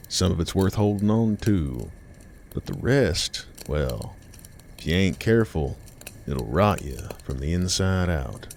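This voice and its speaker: An American man narrating a scripted horror tale in a deliberate, ominous, folksy campfire storytelling manner. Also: sits low, darkish tone, slight background noise. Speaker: storyteller host of a horror series. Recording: music bed behind the voice. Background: music.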